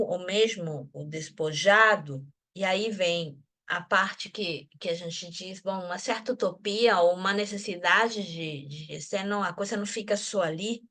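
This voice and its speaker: A woman's voice: mid-range voice, fairly bright tone. A woman from Venezuela speaking in an academic discussion group, thoughtful, often restarting and adding tag questions. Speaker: discussion participant.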